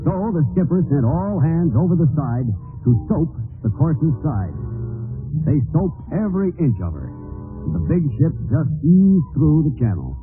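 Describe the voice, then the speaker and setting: Male radio narrator, 1940s yarn-spinning style, very lively mid-range delivery, music behind, narrow vintage sound. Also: fairly high pitch, unhurried. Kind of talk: 1940s radio storytelling, scripted. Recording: tinny old radio recording.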